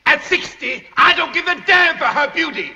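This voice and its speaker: A shrieking old plantation master. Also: male voice, expressive, moderate pace, sharp tone, big-room sound, heavily acted. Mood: furious. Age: 60s. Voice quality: shrill and screaming.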